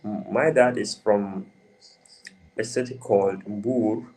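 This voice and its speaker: A young man from the Gambia, answering interview questions in a hesitant, halting way with many restarts. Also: lowish voice, steady tone, darkish tone, a little hiss or hum. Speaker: interview guest.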